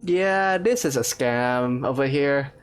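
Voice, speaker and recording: Very deep-voiced woman gamer, casual. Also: slow pace. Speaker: gamer playing and talking along. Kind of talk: casual gameplay commentary, improvised replies.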